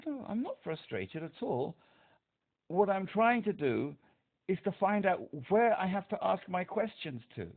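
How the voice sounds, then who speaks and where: A man's voice: sits high, big pitch swings, unhurried. A man with a speech impediment, calling a helpline and pressing his point emphatically, repeating himself. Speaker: caller to a helpline. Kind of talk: phone call. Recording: tinny phone line.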